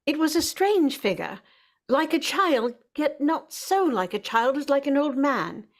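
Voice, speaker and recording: A woman's voice: high-pitched, expressive, unhurried. Woman reading as a radio narrator. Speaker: narrator and announcer.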